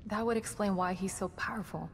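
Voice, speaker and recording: A woman playing a composed, professional staff psychologist in a horror game, measured and matter-of-fact. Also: close to an everyday voice. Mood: calm, measured. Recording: reverberant hall with rumbling noise.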